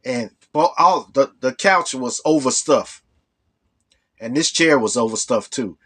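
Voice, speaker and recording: A hoarse man with a cold telling a rambling personal story as the host of a storytelling channel. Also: mid-range voice, unhurried, fairly bright tone. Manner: rambling, full of "you know". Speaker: storytelling vlogger. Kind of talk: casual storytelling.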